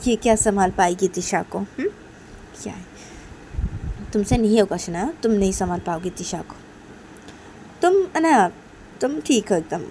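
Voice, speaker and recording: A woman's voice: mid-range voice, dark tone, slight background noise, close to an everyday voice, low-quality recording. A woman voicing an exasperated mother in a story, nagging insistently and repeating herself. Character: nagging, exasperated, scolding mother of young women.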